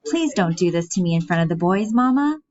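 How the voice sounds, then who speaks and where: A woman's voice: lowish voice, lively intonation, brisk, darkish tone, close to an everyday voice. A woman voicing an anxious, childlike, pleading grown woman in a stage play. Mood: pleading, defiant. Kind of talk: reading a stage play aloud. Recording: dull, muffled lo-fi audio.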